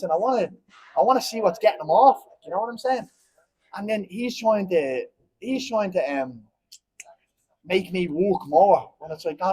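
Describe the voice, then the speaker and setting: A man's voice: sits high, a little mellow, husky, rough recording. A man in his twenties with a Dublin Irish accent, talking bluntly and heatedly at a post-fight press conference, rambling and often asking "you know what I mean". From Ireland. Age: 20s.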